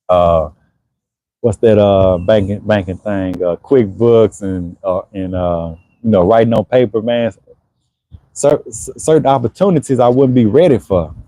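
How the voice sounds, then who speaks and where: A man in his forties talks in a relaxed, conversational way, answering viewers' comments during a livestream. His voice is mellow and unhurried, recorded close and dry. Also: mid-range voice, no echo. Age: 40s. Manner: laid-back, conversational, frequent "you know what I'm saying". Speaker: HVAC business owner hosting a livestream. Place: Houston area.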